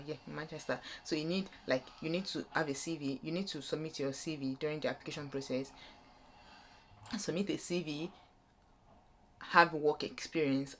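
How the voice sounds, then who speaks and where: A woman's voice: deep voice, a little hiss or hum. A young woman with a Nigerian accent gives an informal, unscripted explainer as a vlogger, rambling and rephrasing her points as she goes. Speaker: vlogger giving student application advice.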